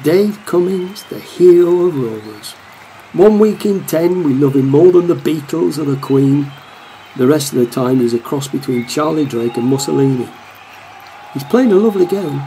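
Male narrator for radio play: actor as devoted, wry football fan, gravelly voice, slight background noise. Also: on the high side, lively intonation, normal speed, mellow, low-quality recording. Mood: fond admiration. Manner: wry, commentary-like storytelling.

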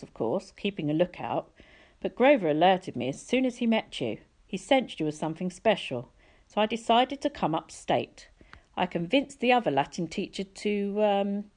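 Woman playing older male teacher, storybook mentor, kindly, courteous, wry, expressive intonation. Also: mid-range voice, lightly acted. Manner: courteous, measured, gently wry.

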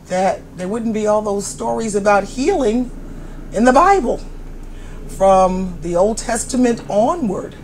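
A woman in her sixties testifying with deliberate, emphatic conviction on a lapel mic. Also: medium pitch, a little mellow, a little hiss or hum, low-quality recording. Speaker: minister. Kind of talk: unscripted testimonial.

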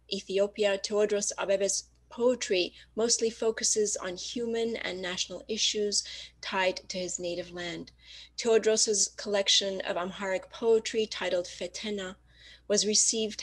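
A woman hosts an online poetry event over a video call. She reads a prepared introduction in a steady voice, her pitch in the middle range. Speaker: host. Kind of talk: introducing speakers.

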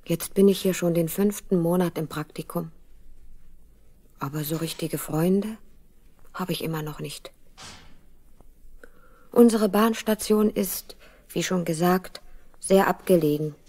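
Slow, reflective young letter writer. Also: female voice, lowish voice, mellow. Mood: wistful. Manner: reflective, unhurried, intimate. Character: lonely young woman trainee writing to her mother. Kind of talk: radio play letter narration.

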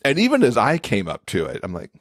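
A man's voice: medium pitch, huge pitch swings, fast, no room sound, clean studio sound. A man being interviewed as an expert guest, explaining things conversationally with everyday examples. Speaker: podcast guest, accessibility expert and author.

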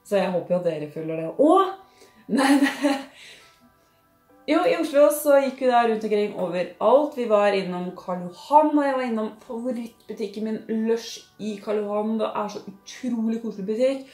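A young woman with a Norwegian accent chatting in a casual haul vlog, gushing and expressive, with lots of sighs and fillers. She sits at a living-room table in front of a fixed camera. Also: a little high, echoey room, soft background music. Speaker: beauty and lifestyle vlogger. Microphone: fixed camera at table distance.

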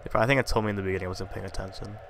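A man with a lowish, slightly congested voice talks through a horror game in a casual, profane mutter. His voice sits over clear game sound behind him. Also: fairly even intonation, very fast, noisy background. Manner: profane, jumpy, muttering asides. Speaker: gamer playing a horror game. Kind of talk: casual commentary.

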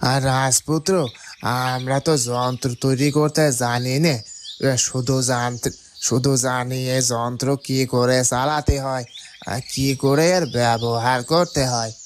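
An actor voicing a worried, hesitant stranded machine operator in a cartoon. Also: male voice, medium pitch, measured pace, a little hiss or hum, close to an everyday voice. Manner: hesitant, flat.

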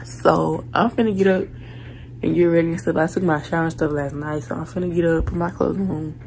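Young woman, deep voice, gravelly fry, rambling casual vlog, phone camera in a bedroom, faint hiss. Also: fairly even intonation, brisk, darkish tone, rough recording. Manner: rambling, low-energy and a bit frustrated, many fillers. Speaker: vlogger. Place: small bedroom indoors. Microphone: phone camera at arm's length.

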